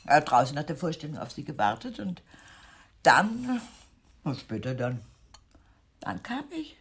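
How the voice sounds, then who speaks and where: An actress playing a chatty, eccentric older aunt in a German radio play, gushing, theatrical and breezily insistent. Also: female voice, deep voice, big pitch swings, a little slow, creaky, a little hiss or hum, heavily acted. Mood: wistful, chatty.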